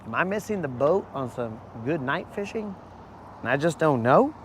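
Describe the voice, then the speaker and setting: A hoarse man chatting loosely to his viewers from a boat on a lake, his voice sitting a bit high for a man. His talk is slangy and easygoing, and he keeps calling people bro. Faint outdoor noise runs under him. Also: very animated, moderate pace. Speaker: fishing channel host. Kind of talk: live-stream chat while fishing.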